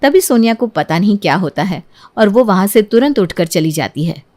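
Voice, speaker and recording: Female storyteller, medium pitch, scripted narration. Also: animated, normal speed, darkish tone.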